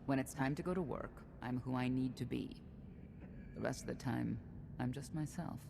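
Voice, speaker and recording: Deep-voiced, resolute woman knight. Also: normal speed, vocal fry, background noise. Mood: resolute, guarded. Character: self-assured, candid woman knight, wry and resolute. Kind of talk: video game cutscene acting.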